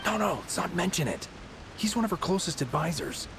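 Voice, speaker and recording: An actor playing a wary, conspiratorial soldier in a video game, assertive and secretive. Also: male voice, high-pitched, expressive, brisk, creaky, background noise. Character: wary, conspiratorial male soldier, sure of himself. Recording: game music behind.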